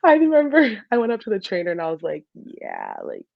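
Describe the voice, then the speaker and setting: A woman's voice: medium pitch, huge pitch swings, a little fast, vocal fry, no room sound. A woman, chatting casually and informally as an interview guest on a dull recording. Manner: chatty, frequent laughter and filler "like". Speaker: podcast guest, soccer player and dental student.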